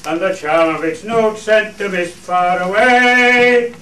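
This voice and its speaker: Radio play part: male actor as jovial Cumbrian hill farmer, hearty and unhurried, roomy distant sound. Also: high-pitched, sharp tone, exaggerated voice. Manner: hearty, rustic dialect speech. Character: jovial Cumbrian fell farmer, singer at shepherds' gathering. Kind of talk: radio play dialect acting with hunting song. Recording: roomy, distant stage recording, faint noise.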